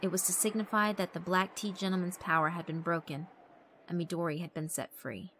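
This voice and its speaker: Bright-voiced woman narrating a dramatic story. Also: lowish voice, steady tone, brisk, a little hiss or hum. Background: background music.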